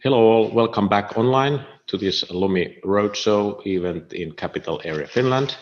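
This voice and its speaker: A man introducing the next speaker at an online event, formal and measured. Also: lowish voice. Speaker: event host. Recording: muffled lo-fi audio.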